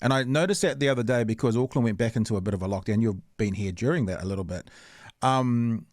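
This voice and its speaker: A man's voice: mid-range voice, quick pace, dry recording, studio quality. Chatty New Zealand podcast host man. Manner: chatty, laughing easily, long winding sentences. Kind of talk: casual podcast interview.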